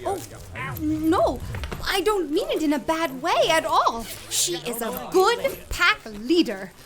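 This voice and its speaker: A woman's voice: extremely high pitch, very animated, slow pace, heavily acted. A woman playing a chirpy eccentric naturalist lady. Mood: quirky. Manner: chirpy, rambling, says odd things matter-of-factly. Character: eccentric cheerful woman naturalist, odd and blunt. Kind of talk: audio drama acting.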